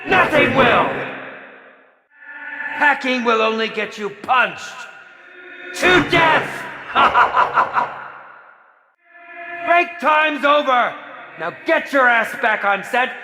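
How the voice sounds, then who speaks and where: A man's voice: very high-pitched, lively intonation, drawn-out words, pauses often, heavily acted. A man playing a pompous, maniacal horror film director in a video game, theatrically exasperated and melodramatic. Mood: exasperated ranting. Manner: pompous, melodramatic, swooping from sly to shrieking. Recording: echoey hall reverb with music behind.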